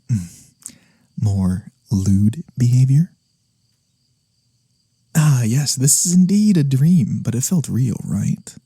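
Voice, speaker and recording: A man playing a gentle, courteous incubus demon in a soft-spoken ASMR fantasy roleplay, polite and a little hesitant, with a breathy voice that sometimes drops to a whisper. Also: on the low side, no echo, exaggerated voice. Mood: gently teasing.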